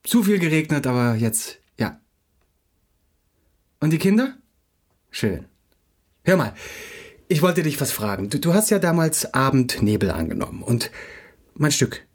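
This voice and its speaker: An actor playing an insecure, agitated young playwright in a radio play, halting and stammering as he repeats himself. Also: on the high side, sing-song. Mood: anxious, pleading. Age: young adult.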